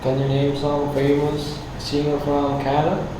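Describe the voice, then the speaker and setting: A man's voice: on the high side, monotone, a little slow. A man interviewing a musician, asking short, direct questions. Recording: distant mic in echoey room, background hiss.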